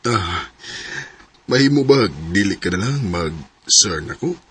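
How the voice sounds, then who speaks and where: A man's voice: sits low, expressive, takes it slowly, fairly bright tone, breathy edge, exaggerated voice. A man acting an earnest, protective boss in love in a romantic radio-style drama, with a Cebuano Filipino accent. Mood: tender, hesitant.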